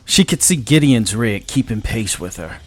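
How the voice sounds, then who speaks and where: Low-voiced man narrating dramatic science fiction. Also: expressive, normal speed, dry recording, soft background music. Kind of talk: dramatic scripted sci-fi narration.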